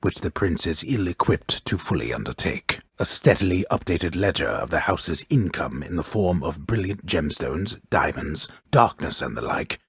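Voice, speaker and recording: An actor playing a wry, grandiose old storyteller in a video game, narrating Greek myth gravely and ornately with dry mockery, his voice gravelly. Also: animated, normal speed, few pauses, dry recording, full character voice. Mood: wry, stately. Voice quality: very deep, gravelly, husky voice. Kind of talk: theatrical scripted game narration.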